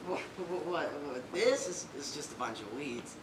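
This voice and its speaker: A woman's voice: low voice, very animated, a little slow. A performer playing a shy, kindly, bumbling man in a stage play, stammering nervously with folksy phrasing. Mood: flustered, shy. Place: stage in a roomy hall. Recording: distant, echoey, noisy stage recording.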